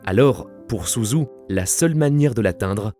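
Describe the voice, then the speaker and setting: A man's voice: on the low side, expressive, normal speed. A man in his twenties narrating a scripted video essay with earnest, dramatic delivery. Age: 20s. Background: faint music.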